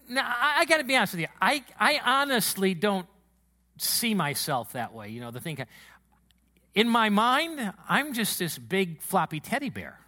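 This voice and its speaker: A man preaching a sermon to his church congregation, with a booming voice and an emotional, excitable delivery. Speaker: pastor. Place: church sanctuary.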